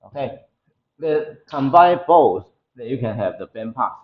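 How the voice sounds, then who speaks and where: Halting male lecturer with a Taiwanese accent. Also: medium pitch, big pitch swings, dull audio. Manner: halting, repeats phrases as he explains. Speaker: university lecturer. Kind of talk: classroom engineering lecture. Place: indoor classroom.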